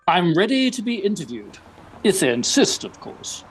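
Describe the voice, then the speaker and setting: A man playing a vain gentleman detective, speaking in a pompous, formal, drily witty way. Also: sits high, big pitch swings, normal speed, husky, faint music behind, full character voice, rough recording. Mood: pompous, dry.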